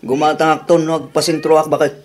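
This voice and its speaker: A man's voice: fairly high pitch, little pitch movement, quick pace, lightly acted. A man voicing a thrifty husband in a radio drama, chuckling and defensive about his bargains. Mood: casual.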